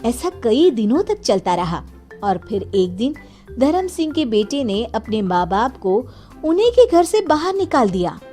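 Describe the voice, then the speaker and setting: A woman narrates a children's story in a slightly high voice, lively and animated in pitch. She takes her time over the scripted lines, with music playing softly behind her. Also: measured pace.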